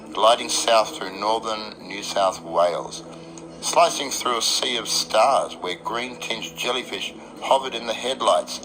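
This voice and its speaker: Low-pitched Australian man narrating a radio play. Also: background noise. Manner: wry, literary beat-poet storytelling. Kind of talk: dramatic first-person scripted radio narration. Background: electric guitar music.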